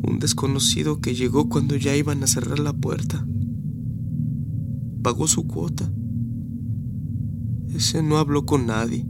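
A man's voice: a little high, steady tone, measured pace, gravelly, long pauses, very noisy. A man with a Mexican accent playing a traumatized migrant survivor in a radio drama monologue, speaking in short, colloquial, haunted phrases. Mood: hushed, fearful. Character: traumatized male Mexican migrant, lone survivor recounting horror. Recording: music under the voice.

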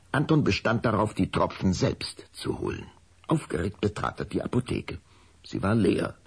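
A man narrates an audio drama from a script. His voice is deep and husky, with lively movement in pitch as he tells the story.

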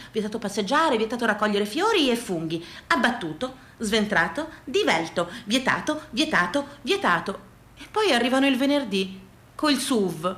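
High, bright woman performing theatrical monologue. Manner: incantatory lists with emphatic repetition; satirical, exclamatory. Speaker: solo stage actress. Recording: roomy, echoing stage sound.

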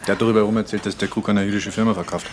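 A flippant, teasing colleague in a radio drama, played by a man. He talks quickly in a low voice, with cutlery and dishes clattering around him. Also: fairly even intonation, background noise, lightly acted. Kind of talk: radio drama acting, casual talk.

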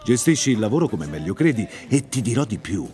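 A man's voice: on the low side, big pitch swings, brisk, mellow. A man playing a pushy, persuasive company manager in a radio drama, talking like a patronising salesman. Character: slick, pushy male company manager selling flexibility. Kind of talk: theatrical radio drama dialogue.

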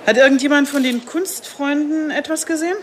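An actress playing a no-nonsense chief inspector in a radio drama, curt, questioning and authoritative. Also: female voice, high-pitched, a little fast, close to an everyday voice. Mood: appalled. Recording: faint location-style ambient noise.

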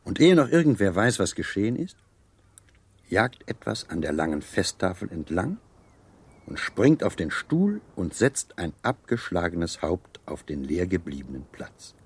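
An actor in a German radio play playing a gallant, teasing seducer who tells stories in a suave, theatrical way. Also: male voice, low-pitched, big pitch swings, unhurried, husky, full character voice. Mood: suave, dramatic storytelling. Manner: theatrical, suave, savouring storytelling.

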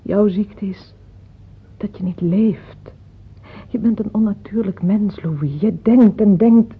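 A woman's voice: medium pitch, slow pace, husky. An actress in a Dutch radio play, playing an earthy, plain, motherly middle-aged mistress who speaks frankly, tenderly and teasingly, without hurry. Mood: reproving, weary. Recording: muffled tinny old radio play recording.

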